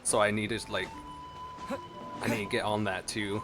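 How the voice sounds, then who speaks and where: A man's voice: lowish voice, level tone, moderate pace. Casual male streamer over game music. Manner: informal, trailing, thinking aloud. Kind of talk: casual gameplay commentary.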